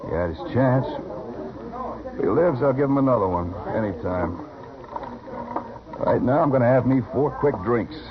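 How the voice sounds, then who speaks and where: An actor playing a cold, proud western gunslinger with an unhurried, menacing, matter-of-fact manner in a radio drama. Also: male voice, mid-range voice, very animated, a crowd in the background. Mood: cold defiance. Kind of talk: old-time radio western acting. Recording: dull, noisy vintage radio recording.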